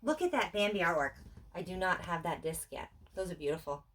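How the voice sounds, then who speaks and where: A woman's voice: lowish voice, animated, fairly quick. A woman chatting casually and playfully in an unscripted unboxing at home, with exclamations and jokes at her own expense. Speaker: co-host showing collection items. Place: indoors at home on a couch. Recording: distant mic, noisy room.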